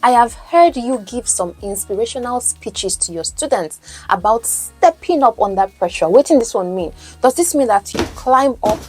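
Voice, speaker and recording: A woman's voice: a little high, lively intonation, normal speed, soft background music. A Nigerian woman whose first language is Yoruba, teaching English as a coach and podcast host, explaining and asking the listener questions. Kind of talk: teaching lesson, mixing in Pidgin.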